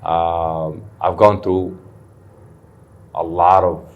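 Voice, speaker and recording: Deep-voiced man telling his story. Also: little pitch movement, drawn-out words, muffled recording. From Somalia. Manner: earnest, candid, sprinkles Arabic phrases. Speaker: guest, recovery center founder. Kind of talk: personal storytelling interview. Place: studio.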